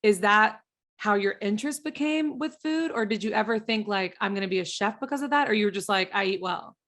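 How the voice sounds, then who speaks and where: A woman's voice: medium pitch, a little fast, a bit bright. Chatty woman hosting. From southern US. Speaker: podcast host, interviewer. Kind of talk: casual chat.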